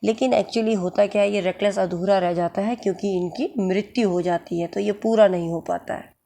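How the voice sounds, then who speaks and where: A woman with a hoarse throat and an Indian English accent, teaching English literature for exams and explaining each word slowly. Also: mid-range voice, steady tone, dark tone. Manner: slow, explaining word by word, checks understanding. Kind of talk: exam-prep lecture in mixed Hindi and English.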